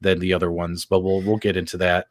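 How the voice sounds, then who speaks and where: A man's voice: sits low, level tone, fast talker, dry recording, clean studio sound. A man hosting a casual live panel show, informal and conversational as he addresses viewers directly. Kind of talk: casual live-stream hosting and chat reading.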